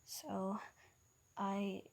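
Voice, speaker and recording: A bashful young man proposes in a hushed, stumbling voice with a Norwegian accent, put on by a woman. His words come slowly and unevenly, with many restarts. It is a homemade fan story reading. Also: mid-range voice, completely flat delivery, gravelly, heavily acted. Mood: reflective. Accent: Norwegian (imitated). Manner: hesitant, quiet, stumbling. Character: nervous young man proposing, soft-spoken.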